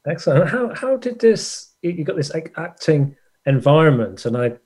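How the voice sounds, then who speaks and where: A man's voice: a little high, sing-song, moderate pace, darkish tone, husky. A man hosting a casual interview, asking questions in a hesitant, rambling way. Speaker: interviewer, host.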